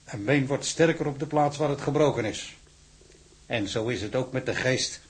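A man's voice: mid-range voice, normal speed, lightly acted. An actor playing a plain-spoken, fatherly prison warder in a Dutch audio drama, homely, patient and encouraging. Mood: kindly, encouraging.

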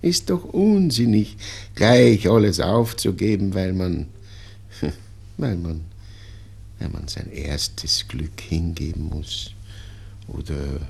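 Husky, halting old Viennese father. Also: deep voice, a little mellow, full character voice. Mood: pleading, consoling. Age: older. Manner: halting, pleading, repeats himself. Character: anxious, doting old father pleading with grown daughter. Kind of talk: 1960s German-language radio drama acting.

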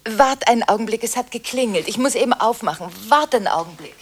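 An actress playing a restless, contradictory woman on the phone late at night in a radio drama, halting and anxious, shifting between hushed and insistent. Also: a little high, expressive. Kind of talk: radio monodrama acting, one side of phone conversations.

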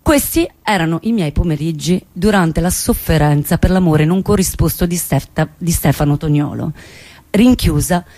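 A woman's voice: fairly low pitch, normal speed, warm tone, dry recording. An actress playing a wry woman who recounts her lovesick teenage years in a dramatic, sardonic theatre monologue. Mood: nostalgic storytelling. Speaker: stage actress.

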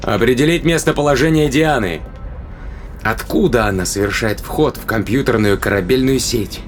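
An actor playing a defiant, quick-thinking spaceship captain in a science fiction audio drama, terse, commanding and rough-tongued. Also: male voice, medium pitch, soft background music, natural voice. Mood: gloating, angry.

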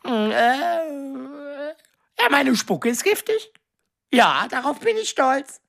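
A woman's voice: high-pitched, sing-song, takes it slowly, vocal fry, exaggerated voice. A woman playing a small, servile demon servant in a radio play, chatty and hapless, with pompous, hesitant courtly phrasing. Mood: boastful.

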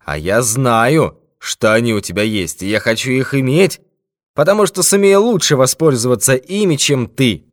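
A man voicing a brutal, mocking red-haired robber who shouts threats in a raised, forceful voice. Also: mid-range voice, lively intonation, normal speed, no echo, studio quality, lightly acted. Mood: threatening.

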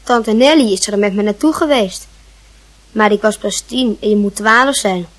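A woman's voice: medium pitch, moderate pace, lightly acted. A woman playing an earnest, curious ten-year-old boy who questions persistently in a radio play. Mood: pleading. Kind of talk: Dutch radio play acting. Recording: noisy, clicky old radio recording.

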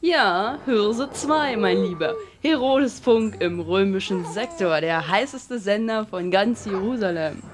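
A woman in a comic radio play acting a brisk, bossy young mother with an exclamatory, teasing manner. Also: mid-range voice, expressive.

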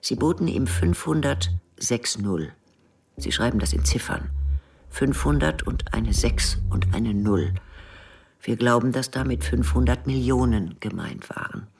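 A man playing a guarded, measured intelligence agent in a radio drama, with a breathy, often half-whispered voice. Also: medium pitch, far from the mic. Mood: confiding, grave. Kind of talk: scripted radio drama dialogue.

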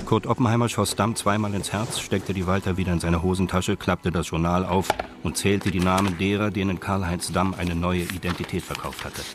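A man narrating a crime radio drama in a sober, detached, deadpan and measured manner. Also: low-pitched, background noise, close to an everyday voice. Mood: matter-of-fact.

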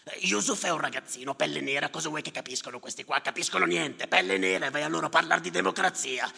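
A gravelly, sardonic bureaucrat on stage. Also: male voice, a little high, quick pace, sharp tone, exaggerated voice. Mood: scornful. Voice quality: gravelly, rasping. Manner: sardonic, contemptuous, obsessively repetitive. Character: callous, muttering male bureaucrat tallying drowned migrants. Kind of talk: theatrical stage monologue. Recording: reverberant hall, live stage.

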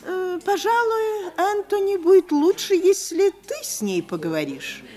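Fussy high-voiced society lady, chatters and frets, voice bouncing up and down. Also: lively intonation, measured pace, a little mellow. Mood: fussing. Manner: fussy, scolding, prattling. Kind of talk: radio play acting.

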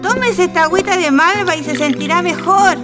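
Woman as high-voiced fussing motherly aunt, reverberant. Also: brisk. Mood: soothing. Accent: Chilean. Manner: folksy, soothing, fussing. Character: fussing, motherly aunt, folksy and world-weary about men. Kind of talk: radio drama acting. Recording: long reverb, music behind.

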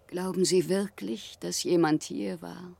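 A woman's voice: lowish voice, fairly even intonation, a little slow, gravelly, dark tone, slightly rough, no room sound, full character voice. A gaunt, sickly grey-haired spinster in a radio mystery. She is bitter, severe and full of foreboding, in a very dry recording. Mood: ominous. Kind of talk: radio drama acting.

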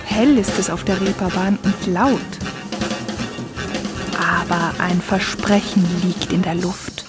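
A woman's voice: mid-range voice, fairly even intonation, takes it slowly, over loud music. A woman delivering literary, scripted narration.

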